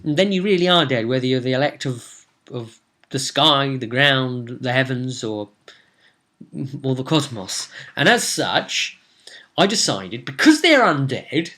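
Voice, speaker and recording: A man's voice: mid-range voice, animated, a little slow, bright, forceful tone. Dramatic young storyteller man. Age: young adult. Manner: rambling, dramatic storyteller, gesturing. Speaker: vlogger and game master. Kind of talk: animated casual recap of a tabletop role-play session. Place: small room at home, indoors.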